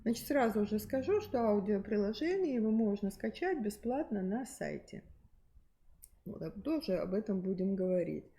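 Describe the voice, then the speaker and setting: A woman's voice: medium pitch, expressive, normal speed, warm tone. A middle-aged woman giving an unscripted presentation on textbooks to fellow teachers, explaining with frequent fillers and restarts. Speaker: presenter at a teachers' methods meeting.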